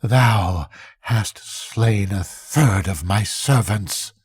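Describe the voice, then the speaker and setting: An older man voicing Avarice, a miserly, possessive, defiant female spirit, in slow, emphatic archaic speech for a bedtime story. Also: lowish voice, slightly rough, dry recording, studio quality, heavily acted. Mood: anguished.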